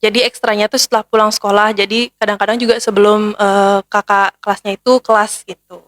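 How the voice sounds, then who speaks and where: A young woman guest from Bali answering interview questions casually and hesitantly, with many pauses and fillers. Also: mid-range voice, flat delivery, a bit bright. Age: young adult. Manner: hesitant, many fillers, calls herself Kakak. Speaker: guest, former student council head.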